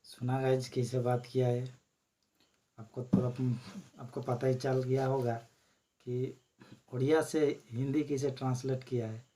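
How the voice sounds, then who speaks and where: A man hosting his own channel, chatting casually to viewers and explaining a recorded call. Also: medium pitch. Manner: relaxed, explains slowly with fillers. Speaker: channel host.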